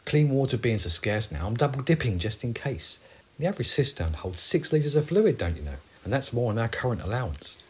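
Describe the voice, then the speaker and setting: A woman acts an unflappable survivor in a comic audio drama. The voice sits extremely low and is heavily rasped, with lively pitch movement under a deadpan, practical delivery. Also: fairly quick, exaggerated voice. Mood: deadpan, matter-of-fact. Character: pragmatic, unbothered zombie apocalypse survivor, drily explaining scavenging. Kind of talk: indie audio comedy drama acting.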